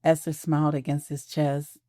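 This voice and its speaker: Low dark-voiced woman narrator. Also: flat delivery, no echo, studio quality. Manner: soft, measured storytelling. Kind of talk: scripted audio drama narration.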